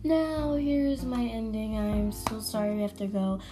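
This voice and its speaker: A young woman hosting her own podcast, chatting casually and rambling with self-corrections, her voice hoarse from allergies. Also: fairly high pitch, steady tone, measured pace, over music. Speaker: podcast host. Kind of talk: casual solo podcast chat.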